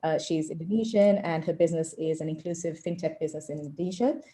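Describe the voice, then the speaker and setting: A woman from southern Africa, an angel investor introducing herself on an online panel in a measured way over a video call. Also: deep voice, dark tone.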